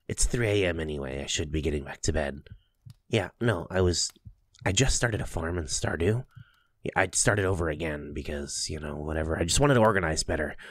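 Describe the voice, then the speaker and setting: A doting young boyfriend, played by a man, murmurs in an intimate ASMR roleplay. His voice is low and husky, close and dry. He is soft and affectionate, with a playful teasing streak. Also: no echo.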